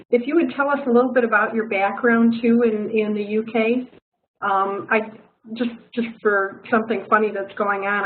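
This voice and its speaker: Chatty woman host on a tinny line. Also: mid-range voice. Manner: warm, chatty, with many fillers. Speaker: session host. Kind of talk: webinar introduction. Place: home by a fireplace. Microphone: video call microphone. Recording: tinny phone-like audio with faint noise.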